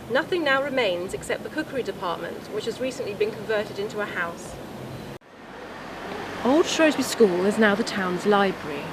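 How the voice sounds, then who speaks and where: Woman narrating outdoors over clear street noise. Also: medium pitch. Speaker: presenter of a local history tour. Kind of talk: scripted narration. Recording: outdoor location sound with clear traffic noise.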